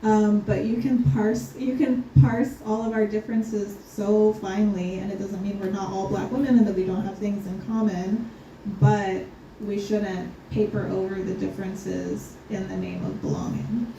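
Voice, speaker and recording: A woman speaking thoughtfully on a panel, pausing often with ums and light laughs. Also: medium pitch, little pitch movement, background noise. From United States. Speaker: scholar guest. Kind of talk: panel conversation. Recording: roomy, distant, slightly dull.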